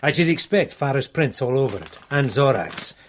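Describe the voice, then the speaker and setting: A man's voice: mid-range voice, very animated, normal speed, slight background noise, close to an everyday voice. An actor playing a deferential male police assistant in a radio drama, reporting in a matter-of-fact way.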